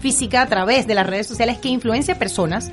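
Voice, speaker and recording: A woman hosting a radio show, chatting directly with listeners and guests in a lively conversational way. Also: a little high, fast talker. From Venezuela. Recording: music behind the voice. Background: music.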